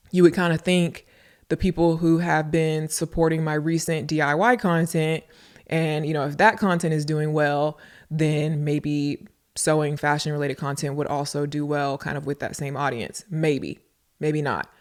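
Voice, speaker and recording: A woman's voice: sits low, darkish tone, clean tone. A woman hosting a podcast in a casual, informal way, talking directly to her listeners and repeating phrases for emphasis. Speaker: podcast host and content creator. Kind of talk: casual solo podcast talk answering listener questions.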